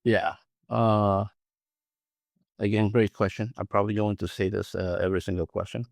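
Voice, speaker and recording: A middle-aged man from Iraq answers interview questions, often stalling on fillers and restarting his sentences. His voice sits low and has a gravelly fry. The recording is close and dry, with no room sound. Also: level tone, normal speed, a little mellow, dry recording. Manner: hesitant, many fillers and restarts. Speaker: guest, analytics professional.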